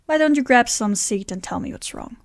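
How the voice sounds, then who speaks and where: A woman's voice: on the high side, animated, fairly quick, vocal fry, no echo. Dry-recorded woman acting a wry barfly friend. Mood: wry, concerned. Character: wry, down-on-their-luck friend Bill, narrating at a bar. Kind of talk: scripted first-person audio drama narration.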